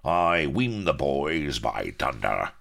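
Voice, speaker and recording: A man voicing a loud, oafish, bloodthirsty pirate who bawls in rustic speech. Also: extremely low pitch, takes it slowly, gravelly, voice pushed up, exaggerated voice. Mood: bellowing. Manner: bawling, bellowing rustic speech.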